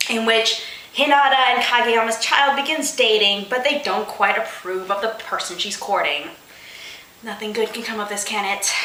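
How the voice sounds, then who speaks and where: Woman, bright forceful voice, unhurried pace, comedic story reading, amused exasperated asides, roomy echo. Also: a little high. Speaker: host of a fanfiction reading show. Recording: roomy, echoing room sound.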